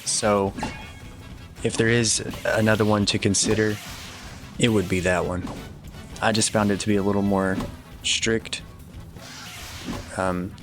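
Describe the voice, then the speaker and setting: A man giving casual video game walkthrough commentary and tips in a matter-of-fact, advisory way. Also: lowish voice.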